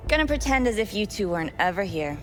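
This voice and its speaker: A woman's voice: medium pitch, sing-song, fast, faint music behind, natural voice. A woman playing a cold, pragmatic manager in a cyberpunk game, speaking in a dismissive, businesslike way. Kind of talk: video game voice acting.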